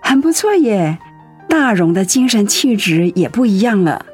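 A woman's voice: medium pitch, big pitch swings, normal speed, darkish tone, slightly rough, faint music behind. A woman acting a caring, polite middle-aged pastor's wife in a conversational radio drama style. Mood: warm, encouraging.

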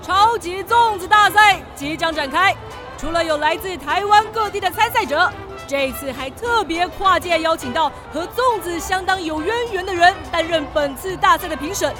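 An excitable female contest announcer in a children's radio drama. Her voice is very high and she calls the event like a sports match, over music and crowd noise. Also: normal speed. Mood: excited. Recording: music and crowd sound behind.

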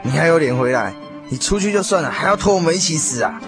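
A man's voice: a little high, animated, quick pace. A man playing a stern, scolding old-timer in a radio drama, with a Taiwanese accent. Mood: angry. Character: stern old-timer male cinema-dweller, scolding veteran. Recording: music behind the voice.

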